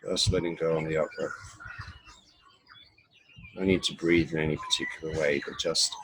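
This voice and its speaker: A man leading a guided body scan meditation in an unhurried, instructional way, pausing between short phrases. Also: very deep voice, little pitch movement, creaky, background noise.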